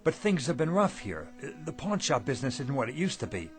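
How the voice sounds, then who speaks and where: An actor playing a weary, kindly, debt-ridden shop owner in a radio drama. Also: male voice, mid-range voice, very animated, a little fast, over music, lightly acted. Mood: weary, blunt.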